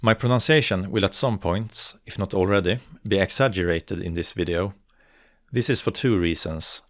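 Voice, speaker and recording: A young man from northern Sweden, a native Swedish speaker with a Swedish accent, giving a careful, measured scripted narration for an educational video. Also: sits low, dry recording, studio quality. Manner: measured, careful, slows to articulate example words. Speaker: video essayist on dialects.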